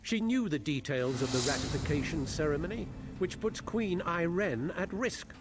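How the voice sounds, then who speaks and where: Man playing a haughty elf prince, animated delivery. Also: fairly high pitch, normal speed, noisy background, natural voice. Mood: stern, disdainful. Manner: formal, haughty, clipped disdain. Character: stern, proud high elf prince, bitter yet dutiful. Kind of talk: fantasy video game dialogue. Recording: game music behind the voice. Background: game music.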